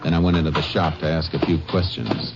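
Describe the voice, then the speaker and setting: An actor playing a steady, hard-pressing male Texas Ranger who narrates and questions a suspect in a 1950s radio drama. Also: a bass voice, fast talker, over loud music. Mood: grim narration. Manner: clipped, firm, interrogating. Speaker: lead detective and narrator. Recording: muffled old radio broadcast.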